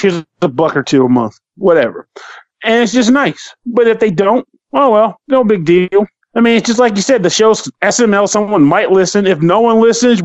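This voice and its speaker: Man, high voice, rambling casual podcast talk, swears a lot, dull dry audio. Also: no echo. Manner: rambling, swears freely, animated rants. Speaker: podcast co-host.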